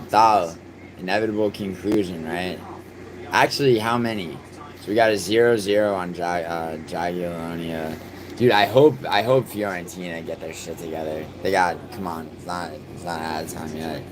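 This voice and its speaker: Low-voiced man, profane streamer. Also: normal speed, noisy background. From New York City. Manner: profane, excitable outbursts between mumbled filler. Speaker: live streamer. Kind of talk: casual live stream chat, reacting to soccer and reading chat.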